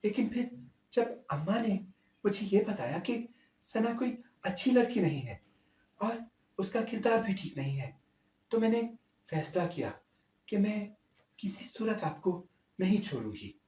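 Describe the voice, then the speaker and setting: Man voicing a soft, high-pitched wounded wife, echoey. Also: big pitch swings, unhurried. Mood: quiet, wounded. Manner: quiet, gentle, sorrowful confiding. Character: hurt, devoted, soft-spoken wife confiding in husband. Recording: echoey, distant-sounding voice.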